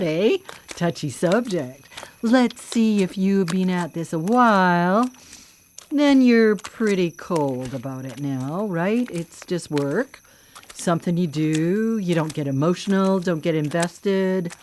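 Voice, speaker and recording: Low-voiced, taunting female vigilante killer. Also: darkish tone, slight background noise. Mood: mocking, probing. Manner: mocking, cocky, coolly menacing. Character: vengeful, taunting female vigilante killer who hunts predators. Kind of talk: live radio drama acting.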